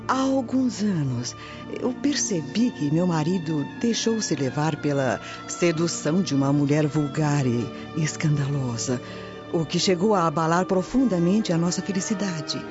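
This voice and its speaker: A woman's voice: low-pitched, expressive, a little slow. A woman playing a worldly, knowing Roman matron confiding in a friend in a radio drama. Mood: reassuring. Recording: dull lo-fi audio over music.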